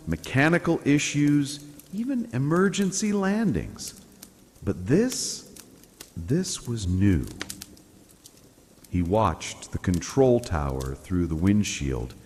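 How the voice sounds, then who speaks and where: Slow, expressive male storyteller in long reverb. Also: medium pitch. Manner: calm, soothing, dramatic storytelling. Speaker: narrator. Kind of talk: scripted bedtime story narration.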